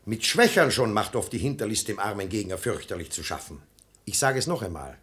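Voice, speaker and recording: An actor playing a sensible, admonishing brother-in-law who urges moderation, speaking verse in a radio play. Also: male voice, fairly low pitch, sing-song, a bit bright. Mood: cautioning. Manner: reasoning, admonishing, measured.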